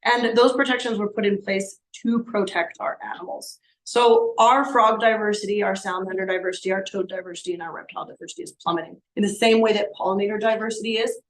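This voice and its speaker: A woman in her thirties with a slightly hoarse voice, showing live animals to young children in a classroom. She explains in an animated, friendly teaching way, asking the kids questions as she goes. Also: medium pitch, low-quality recording. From Canada. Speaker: science educator. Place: elementary school classroom, indoors.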